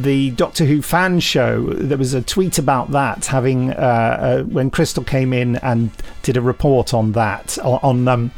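A middle-aged British man chats casually as a podcast host, with a mid-pitched voice. He is hesitant and wry, picking carefully at details. Faint music sits behind him. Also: vocal fry, darkish tone.

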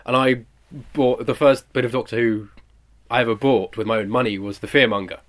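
A man's voice: medium pitch, steady tone, fairly quick. A British man in his twenties being interviewed as a producer, chatting casually in a modest, rambling way and correcting himself. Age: 20s.

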